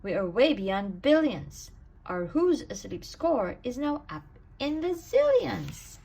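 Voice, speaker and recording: Expressive, husky-voiced woman reading a storybook. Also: a little high, slow pace. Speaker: storybook reader. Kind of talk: read-aloud children's bedtime story in rhyme.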